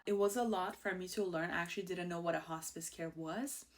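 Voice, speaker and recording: An outgoing woman in her thirties with an Iranian accent hosts her own show in a loose, chatty monologue. Her voice sits a little on the low side for a woman, and she talks with a bubbly warmth, laughing easily between thoughts. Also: fairly quick. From Iran. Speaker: host. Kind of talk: casual personal monologue.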